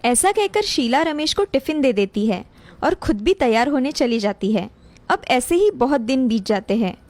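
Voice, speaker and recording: A woman's voice: high voice, sing-song, normal speed, a little mellow, dry recording. A woman narrator reading a scripted moral story for a cartoon. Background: music.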